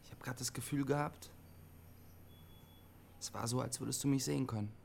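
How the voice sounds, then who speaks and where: A man's voice: mid-range voice, fairly even intonation, brisk, vocal fry, darkish tone, natural voice. Brooding young man played by an actor, noisy background. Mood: uneasy. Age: young adult. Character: lonely, troubled young CB radio operator, son. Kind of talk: German radio drama acting, intimate narration and dialogue.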